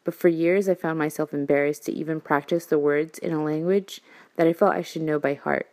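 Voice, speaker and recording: A woman's voice: low voice, fairly even intonation, a little fast, a little mellow. A young woman from New York, a native Spanish speaker, earnestly reading her listener letter aloud. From New York, USA.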